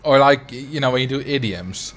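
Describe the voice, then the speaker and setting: A man's voice: mid-range voice, normal speed, fairly bright tone. A man from the Netherlands with a noticeable but mild Dutch accent, a native Dutch speaker chatting casually and in a rambling way as he streams a game. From Netherlands, grew up in Drenthe. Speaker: video game livestreamer.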